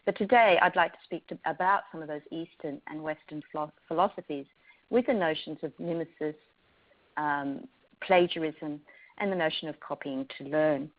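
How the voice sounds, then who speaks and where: A woman's voice: low-pitched, moderate pace. A woman with an Australian accent, a university lecturer giving a thoughtful talk with frequent ums and restarts. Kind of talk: prepared talk to educators. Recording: slightly dull lo-fi recording.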